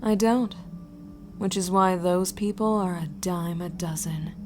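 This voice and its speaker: A woman's voice: fairly low pitch, background noise, close to an everyday voice. A woman playing a cold, menacing mercenary boss in an audio drama, condescending and mockingly polite. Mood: cold menace. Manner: deliberate, condescending, breathy at times.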